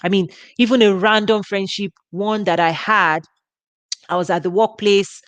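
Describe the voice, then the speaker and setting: Nigerian woman giving a relaxed talk. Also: mid-range voice, normal speed, no room sound. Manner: conversational, with frequent restarts and laughs. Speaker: ministry host. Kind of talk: informal teaching talk.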